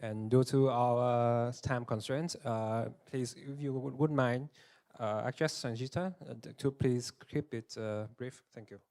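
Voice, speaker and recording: A man's voice: mid-range voice, fairly even intonation, a little slow, darkish tone. A man acting as master of ceremonies, thanking and introducing speakers in a formal, polite manner with some hesitation. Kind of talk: formal event hosting. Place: conference hall.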